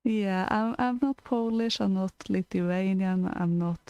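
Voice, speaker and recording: Slow-talking Norwegian woman co-host, mellow. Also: medium pitch, smooth, no room sound, dull audio. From Norway. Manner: hesitant, lots of fillers and giggles. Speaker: co-host of a storytelling stream. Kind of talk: casual chat.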